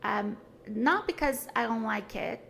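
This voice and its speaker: A woman's voice: a little high, expressive, unhurried, creaky, fairly bright tone, a little hiss or hum. A woman, talking earnestly and frankly as a podcast guest, with many fillers and restarts. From Brazil. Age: 40s. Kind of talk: casual conversation.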